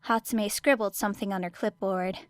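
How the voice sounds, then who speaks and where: A woman's voice: mid-range voice, steady tone, a little fast, no echo. A woman reading scripted fiction as an audiobook narrator.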